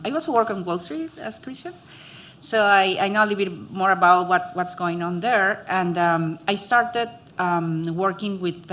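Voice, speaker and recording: A woman's voice: on the low side, fairly bright tone. A woman, speaking on a panel with many fillers and restarts. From Peru. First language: Spanish. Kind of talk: conversational panel talk.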